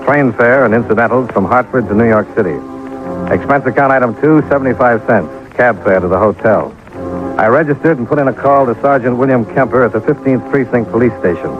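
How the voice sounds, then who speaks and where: An American actor playing a brisk, clipped insurance investigator in a 1950s radio detective drama. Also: male voice, mid-range voice, lightly acted. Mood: matter-of-fact. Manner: clipped, matter-of-fact. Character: brisk hard-boiled male insurance investigator. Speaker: narrator and lead investigator. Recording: tinny old radio recording, music behind.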